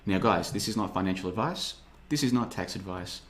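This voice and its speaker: A man, rambling through a casual podcast monologue with a sarcastic edge. His voice sits a little low, and he talks loosely, circling back over his points. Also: expressive, fairly quick, a bit bright. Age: 30s. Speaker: podcast host. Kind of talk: casual podcast monologue reading live chat.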